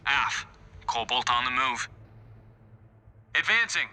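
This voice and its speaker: A man's voice: mid-range voice, big pitch swings, slow pace, sharp tone, slight background noise, heavily acted. An actor playing a businesslike, terse male mech pilot in a military video game. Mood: focused. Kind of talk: video game cutscene acting.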